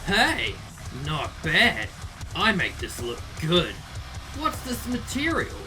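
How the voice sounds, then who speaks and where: A man's voice: high-pitched, huge pitch swings, takes it slowly, exaggerated voice. Cocky, sadistic teenage boy, swooping delivery. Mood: gloating. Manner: taunting, theatrical, mocking. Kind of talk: visual novel voice acting. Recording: music behind the voice. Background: music.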